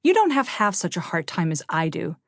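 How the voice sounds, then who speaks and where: A woman's voice: on the low side, big pitch swings, fairly quick, no echo, studio quality. A woman voicing a tomboyish, bookish teenage girl with a blunt, emphatic, grumbling manner in an audiobook. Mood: exasperated.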